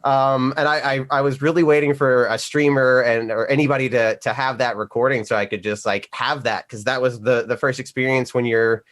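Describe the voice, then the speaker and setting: A man with a mid-pitched voice chats casually as a voice actor on an online panel. He tells his stories in an animated way, slipping into little acted-out asides. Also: brisk, hardly any pauses. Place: remote video call.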